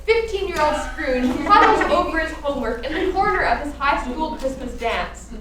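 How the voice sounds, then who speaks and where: A woman's voice: high-pitched, expressive, moderate pace. A woman narrating a scripted radio play as the storyteller of a ghostly tale. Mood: suspenseful storytelling. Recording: echoey, distant stage recording, faint noise.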